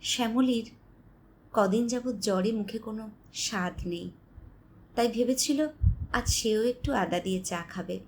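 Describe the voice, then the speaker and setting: A woman's voice: a little high, measured pace, pauses often, a little hiss or hum. A woman narrating a scripted dramatic story as a voice artist.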